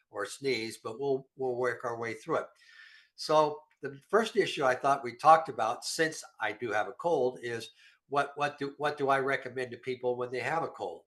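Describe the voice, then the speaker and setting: A man's voice: mid-range voice, a bit bright. Middle-aged man talking through a cold. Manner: rambling, folksy, self-deprecating. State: nasal from a cold. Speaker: health show regular guest. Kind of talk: casual health talk.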